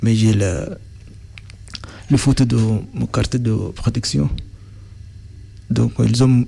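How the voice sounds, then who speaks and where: A man's voice: medium pitch, steady tone, takes it slowly, gravelly, dark tone. A young man from Sudan, a native Arabic speaker, answering interview questions in French with frequent restarts as he searches for words.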